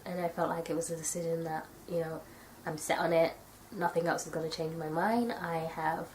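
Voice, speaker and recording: A young woman from the UK chatting casually to camera in a tag video, her nose stuffy from a cold and her voice a little raspy. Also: low-pitched, slight background noise, rough recording. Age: young adult. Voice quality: slightly raspy. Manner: chatty, thoughtful, rambling with fillers. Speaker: vlogger.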